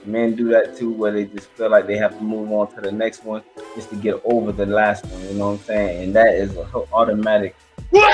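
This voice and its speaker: A man's voice: on the low side, fairly bright tone. A man hosting a reaction show, commenting casually on a podcast clip in an emphatic, preachy way that repeats points. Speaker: reaction channel host.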